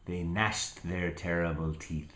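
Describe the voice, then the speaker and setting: A man reading a picture book aloud to a small child as a father, theatrical and playful, with asides and drawn-out words. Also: deep voice, steady tone, fairly bright tone, echoey room.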